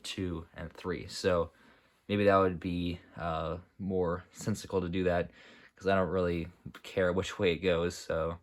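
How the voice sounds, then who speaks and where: A man's voice: sits low, flat delivery, unhurried. A young man with a sore, dry throat casually narrating a walkthrough of his model airport, rambling with many fillers and self-corrections. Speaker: model airport hobbyist showing his build.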